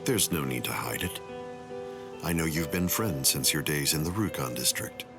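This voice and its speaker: An actor playing a composed, courteous captain in an anime game, speaking in a measured, polite and probing way. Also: male voice, rumbling low, expressive, vocal fry, over music, heavily acted. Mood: probing, calm. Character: composed, courteous, mild-mannered male captain. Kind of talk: English-dubbed anime game dialogue.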